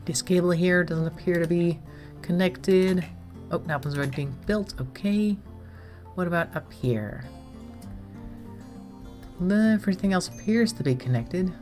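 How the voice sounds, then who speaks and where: A woman's voice: fairly low pitch, unhurried, pauses often, a little mellow, faint music behind. A woman streamer, slightly congested from a cold, chatting casually to herself and her viewers as she plays a game. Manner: chatty, talks to herself while managing the colony.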